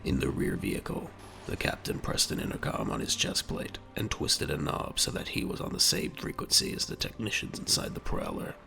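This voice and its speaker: A man's voice: very deep voice, wildly swooping pitch, a little fast, gravelly, sharp tone, a little hiss or hum. A man delivering dramatic scripted narration for an audio drama.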